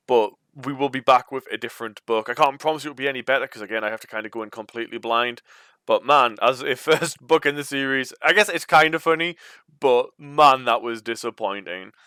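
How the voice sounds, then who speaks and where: Bright-voiced man reading a gamebook aloud. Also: medium pitch, fairly quick, clear, resonant tone, dry recording, studio quality. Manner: informal, chatty asides. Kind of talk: storybook read-aloud with casual commentary.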